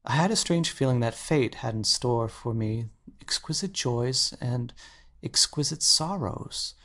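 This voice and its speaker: An actor playing an earnest, anxious painter in a dramatic audiobook, speaking intensely and articulately. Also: male voice, mid-range voice, expressive, a little slow, bright, forceful tone, heavily acted. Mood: fervent, troubled. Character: earnest, anxious male painter confessing his obsession.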